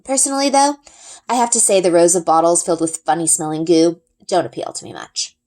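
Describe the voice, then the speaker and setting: A woman narrating a children's book as an expressive read-aloud. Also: lowish voice, fairly quick. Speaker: story reader.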